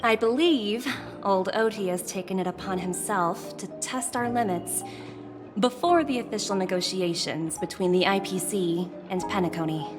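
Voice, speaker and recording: A woman voicing a young, polite and sharp corporate executive in a video game, with a formal manner. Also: mid-range voice, moderate pace, close to an everyday voice. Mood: formal, respectful. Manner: formal and deferential. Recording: background music behind voice.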